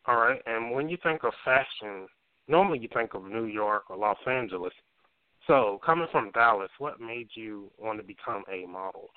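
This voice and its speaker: A man hosting an internet radio show, asking a guest questions in a relaxed, conversational way. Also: fairly low pitch, moderate pace, clear, resonant tone, no echo. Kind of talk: casual talk radio interview. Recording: dry, tinny phone-like sound.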